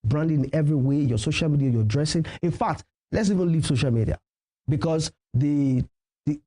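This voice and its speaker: A man's voice: fairly high pitch, brisk, warm tone. A Nigerian man whose native language is Yoruba, a professional MC giving emphatic advice in a conversational TV interview and repeating key phrases. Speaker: professional MC and event host, interview guest.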